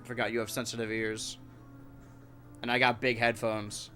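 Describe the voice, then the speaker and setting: Bright-voiced man streamer, stuffed up. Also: mid-range voice, little pitch movement, moderate pace, soft background music. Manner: joking, talking to his cats and chat. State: stuffed-up nose. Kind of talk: casual live-stream chat.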